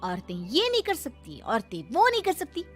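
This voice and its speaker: Outspoken young daughter-in-law for a moral story, played by a woman: high, challenging, indignant, refuses to back down. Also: huge pitch swings, normal speed, a bit bright, faint music behind, exaggerated voice. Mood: sarcastic, challenging. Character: defiant educated young city daughter-in-law. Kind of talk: Hindi moral story character voice.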